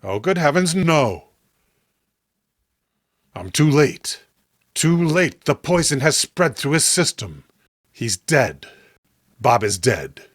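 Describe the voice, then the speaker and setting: A man acts out a horrified, indignant horror-comic hero. His delivery is slow, emphatic and melodramatic, with wide swings of pitch. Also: very animated, no room sound, studio quality, full character voice. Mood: anguished, enraged. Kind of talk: horror comic read-along acting.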